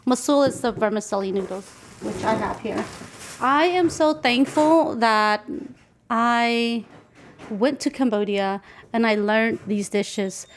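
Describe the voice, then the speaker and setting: A woman in a fairly high voice. She tells stories casually and reflectively as she cooks. There is faint noise and clicking around her in the kitchen. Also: slow. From Nashville, United States. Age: 40s. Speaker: home cook, interviewee. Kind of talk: casual storytelling while cooking. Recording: clicky, noisy kitchen field recording.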